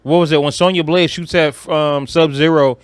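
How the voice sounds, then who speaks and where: A man's voice: fairly high pitch, steady tone, moderate pace, smooth, no room sound. A man co-hosting a podcast in casual fan chat, informal and slangy, with an enthusiastic manner. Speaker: podcast co-host.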